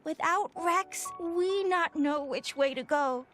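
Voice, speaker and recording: A woman's voice: very high-pitched, slow, fairly bright tone, soft background music, heavily acted. A woman voicing a small, earnest robot girl in a game, pleading in simple, clipped grammar. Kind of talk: anime-style game cutscene acting.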